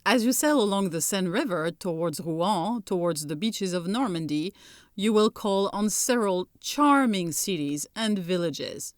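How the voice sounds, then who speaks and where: Female presenter, French accent, native French speaker, measured scripted delivery, dry recording. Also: mid-range voice, expressive, dry recording. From France. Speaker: company presenter.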